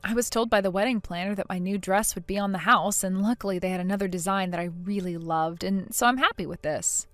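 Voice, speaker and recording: A woman playing a polite, wary young bride in a scripted story drama, with a measured, questioning manner. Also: medium pitch, fairly bright tone, no room sound, faint music behind, close to an everyday voice. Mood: relieved. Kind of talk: scripted melodrama story dub.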